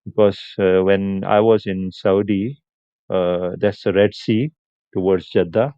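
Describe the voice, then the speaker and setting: A man hosts a live stream, speaking in an unhurried, chatty way and laughing now and then. His low voice is smooth and clear. The sound is dry and a little muffled. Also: steady tone, dry recording. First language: Punjabi. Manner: unhurried, chatty, answers comments, laughs often. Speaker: livestream host. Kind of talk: casual live stream chat with viewers.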